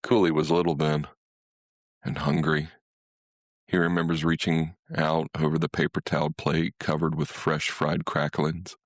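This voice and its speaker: Guy narrating a short story, super deep bass voice, totally dry booth sound. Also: level tone, unhurried, no echo. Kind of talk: scripted literary narration.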